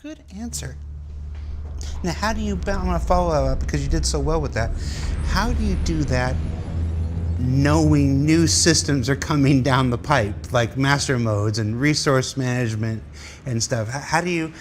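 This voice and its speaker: A man working as an interviewer, asking unscripted questions in a deliberate way and rephrasing as he goes. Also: mid-range voice, background noise.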